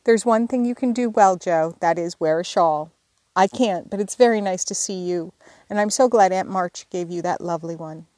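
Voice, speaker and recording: A woman's voice: on the low side, moderate pace, dark tone, dry recording, studio quality, close to an everyday voice. A woman reading an audiobook voices a fussy younger sister who coaxes and instructs in a slightly lifted voice. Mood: instructing.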